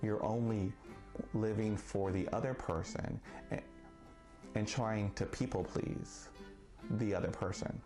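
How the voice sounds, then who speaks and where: A man who stutters, speaking slowly and deliberately with long pauses in a personal monologue. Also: on the low side, fairly even intonation, mellow. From Texas. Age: 40s. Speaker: vlogger. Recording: faint guitar music behind.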